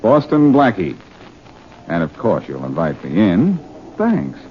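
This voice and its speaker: Cocky wisecracking detective, old noisy radio. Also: male voice, low-pitched, huge pitch swings, takes it slowly, exaggerated voice. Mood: cool, needling. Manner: breezy, sardonic, teasing. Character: cocky, wisecracking male amateur detective, smooth and unflappable. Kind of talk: 1940s radio detective drama acting. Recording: dull, noisy 1940s radio recording.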